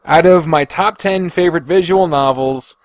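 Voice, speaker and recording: A man's voice: fairly high pitch, moderate pace, no echo. A man hosting a casual podcast on his own, thinking aloud in a hesitant, deliberating way and swearing freely. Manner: hesitant and deliberating, sighing, profane. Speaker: podcast host.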